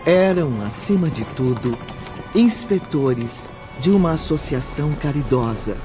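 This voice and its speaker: Slow, solemn radio drama narrator. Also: male voice, on the high side, lively intonation. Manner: solemn, emphatic delivery. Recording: muffled audio with music behind.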